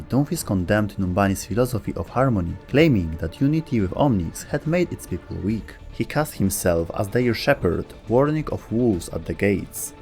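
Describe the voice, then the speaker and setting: A man's voice: on the low side, darkish tone. A man delivering scripted storytelling narration for a video game lore video. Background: faint background music.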